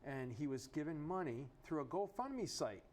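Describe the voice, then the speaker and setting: A man's voice: on the high side, sing-song, moderate pace, heavy background noise. A man co-hosting a casual political chat, voicing strong opinions and throwing out rhetorical questions. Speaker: talk show co-host.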